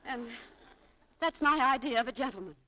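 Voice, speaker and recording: Young shopgirl, wheedling, spirited, touchy, bright forward voice, 1940s radio drama. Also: high-pitched, lively intonation, moderate pace, rough recording. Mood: eager, flattering. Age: young adult. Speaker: radio actress in a romantic comedy. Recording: vintage 1940s radio broadcast.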